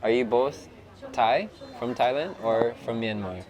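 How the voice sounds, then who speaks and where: A man interviewing a guest in a relaxed, chatty way. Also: mid-range voice, normal speed. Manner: relaxed, chatty, laughs easily. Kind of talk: casual interview conversation. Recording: busy crowd noise around. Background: crowd chatter.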